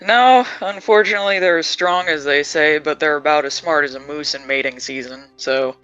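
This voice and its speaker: A sardonic, quick-witted vampire talks in a bright, smooth voice, as a player puts him on. The sound is dull and lo-fi, with faint music in the background. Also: mid-range voice, lightly acted.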